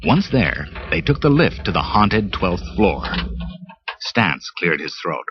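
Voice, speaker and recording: Lively, low-voiced storybook narrator, a man. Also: takes it slowly. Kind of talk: read-along storybook narration. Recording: muffled cassette with music bed.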